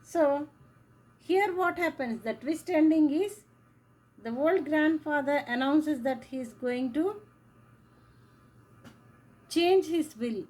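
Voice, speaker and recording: A woman teacher giving a slow lecture, repeating key phrases as she explains. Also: high voice, expressive, a little mellow, rough recording. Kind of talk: classroom lecture.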